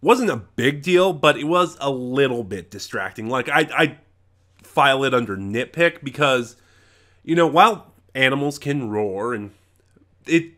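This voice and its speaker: A man reviewing in a casual, unscripted way, opinionated and rambling, halting and correcting himself as he goes. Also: mid-range voice, a bit bright. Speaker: reviewer, channel host.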